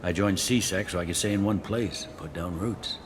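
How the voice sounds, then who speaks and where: Man as a low-voiced, blunt police captain. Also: moderate pace, natural voice. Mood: matter-of-fact. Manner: wry, blunt, matter-of-fact. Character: gruff, pragmatic human male police captain on a space station. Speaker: security captain character. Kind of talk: video game dialogue. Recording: clearly audible ambient noise.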